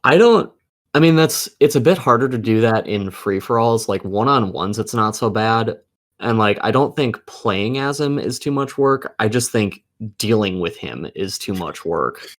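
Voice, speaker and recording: Blunt, low-voiced man swearing freely. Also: expressive, normal speed. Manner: blunt, heavily profane. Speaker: gaming podcast co-host. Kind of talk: casual gaming chat.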